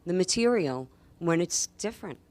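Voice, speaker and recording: A woman from New York, a comedian, talking casually as a guest on a radio show, lively and laughing with quick asides. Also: fairly low pitch.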